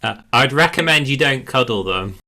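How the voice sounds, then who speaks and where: A man with a bright, forward voice speaks informally as a session facilitator. He explains in a casual, conversational way and often checks in with questions. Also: medium pitch, expressive, normal speed. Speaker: project facilitator and session leader. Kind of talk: casual chat, showing and explaining a demo.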